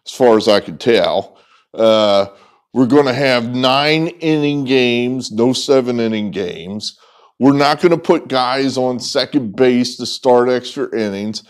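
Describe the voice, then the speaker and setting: Slow-paced middle-aged man giving sports commentary. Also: mid-range voice, clear, resonant tone. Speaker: sports vlogger, host. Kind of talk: opinionated sports commentary, unscripted monologue. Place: indoors, small wood-walled barn room with whiteboards.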